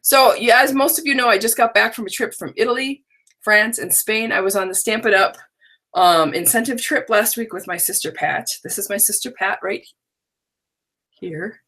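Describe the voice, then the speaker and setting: A woman's voice: mid-range voice, normal speed, a bit bright. Chatty woman with a scratchy voice. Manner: chatty, rambling, talks to unseen viewers. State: scratchy voice from allergies, drowsy. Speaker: stamping business owner hosting a live online workshop. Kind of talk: casual live-stream chat. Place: home stamp room.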